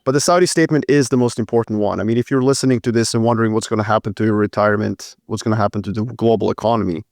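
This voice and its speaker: Man with a measured analytical tone. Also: on the low side, steady tone, darkish tone, dry recording, studio quality. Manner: measured, analytical, some fillers. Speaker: podcast co-host. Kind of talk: casual current-affairs discussion.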